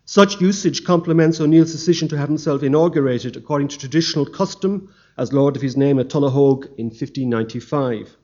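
Guy reading out a history paper, formal and measured, kinda muffled lo-fi sound. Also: a little high, darkish tone. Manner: measured, formal delivery with occasional stumbles. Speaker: history lecturer. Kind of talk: formal academic lecture read from a paper.

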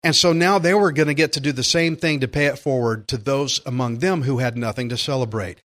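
A man's voice: medium pitch, fairly quick, a bit bright. A man preaching a conversational Bible-teaching sermon as a pastor, plainspoken and emphatic, repeating phrases for stress.

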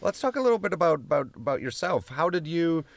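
A male radio host interviews a guest in a studio. He asks questions haltingly, with lots of fillers and restarts, and the sound is dry and close. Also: on the high side, sing-song, vocal fry, dry recording.

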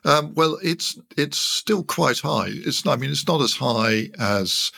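A man's voice: mid-range voice, animated, slow pace, gravelly, sharp tone, dry recording. An older man giving conversational technical explanations as an interview guest, with hesitant, thoughtful phrasing. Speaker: interview guest, loudspeaker designer.

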